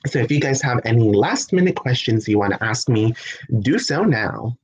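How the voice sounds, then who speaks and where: A man from the United States chats through a home livestream, reading out and answering viewer questions. His voice sits at an ordinary middle pitch. He talks loosely, often laughing and restarting his sentences as he goes. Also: animated, normal speed, breathy edge, muffled recording. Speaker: livestream host.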